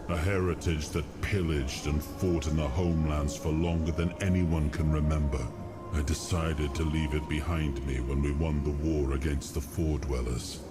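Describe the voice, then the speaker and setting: A man playing a weary, guilt-ridden fallen king in a fantasy video game, speaking gravely and regretfully with a heavy voice. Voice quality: very deep, heavy. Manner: slow, grave, regretful delivery. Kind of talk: brooding dramatic monologue for a fantasy video game. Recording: music playing behind the voice.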